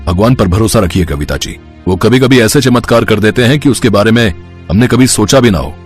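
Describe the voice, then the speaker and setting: A man's voice: on the low side, animated, a little fast, soft background music, lightly acted. A man playing a blunt village doctor. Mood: consoling. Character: grave village doctor, middle-aged and blunt.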